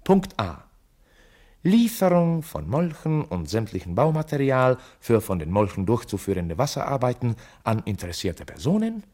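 An actor narrating a German radio drama in the formal manner of someone reading out meeting minutes. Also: male voice, mid-range voice, big pitch swings, normal speed, dark tone. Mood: formal, animated.

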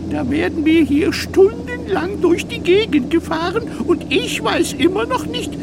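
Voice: very high voice, expressive, unhurried, gravelly, noisy background, exaggerated voice. An actor voicing a vain, easily offended little ghost with theatrical dignity in a children's radio play. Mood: peevish, curious.

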